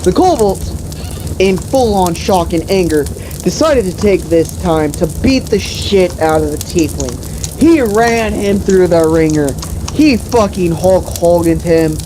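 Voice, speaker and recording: A low-voiced woman spins an improvised fantasy tale for friends, swearing freely and treating it like a crude epic. Her delivery is slow and deliberate. A steady rain-like sizzle runs behind her. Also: noisy background. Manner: profane, mock-epic, deliberate. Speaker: storyteller and host. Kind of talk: improvised comic fantasy storytelling among friends.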